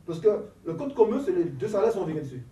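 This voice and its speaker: Animated man in an echoey room. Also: sits high, fast. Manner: animated, laughing, repeats words. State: has a cold. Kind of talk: casual teaching talk with a group. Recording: echoey room, from a distance, faint noise.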